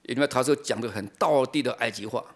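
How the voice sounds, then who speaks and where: A middle-aged man from China with a mid-pitched voice, preaching. He explains in short phrases and leaves pauses for an interpreter. Rhetorical questions shape his teaching.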